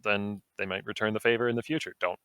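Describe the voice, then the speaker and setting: Low-voiced young man, relaxed and rambling. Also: fast, clean tone, dry recording, studio quality. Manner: relaxed, rambling, full of fillers. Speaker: guest streamer. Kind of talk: casual podcast conversation.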